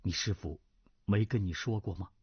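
A man's voice: moderate pace, husky, dry recording. A man doing a regretful, sorrowful older nobleman. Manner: low, soft and heavy with regret. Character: sorrowful middle-aged nobleman full of regret. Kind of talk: storytelling, reading a martial arts novel. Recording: dry, slightly dull lo-fi recording.

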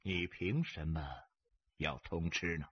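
A man voicing a calm, defiant stranger who speaks coolly and mockingly in an audiobook story. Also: sits low, very slow, breathy edge, lo-fi sound, pitched below the performer's own voice, full character voice. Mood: cold, calm. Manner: flat, cool, quietly mocking. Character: cold, unkempt male drifter, calmly defiant stranger.

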